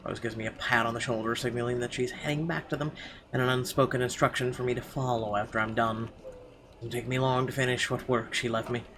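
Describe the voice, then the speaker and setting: A man's voice: mid-range voice, fairly even intonation, fairly quick, background noise. A man doing a let's play, reading narration aloud with some stumbles and throwing in sarcastic asides. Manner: sarcastic asides, stumbling over read text.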